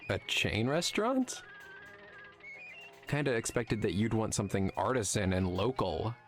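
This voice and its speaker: A man's voice: on the low side, big pitch swings, a little slow, faint music behind. A man playing a sarcastic, irreverent film nerd in a visual novel game, wry and mischievous in his needling.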